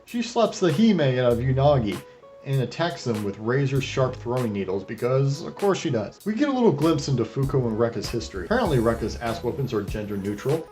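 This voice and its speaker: A man's voice: medium pitch, sing-song, fairly quick, darkish tone, faint music behind. A man hosting a video review, narrating with wry, sardonic commentary and crude joking asides. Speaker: host of an anime retrospective review.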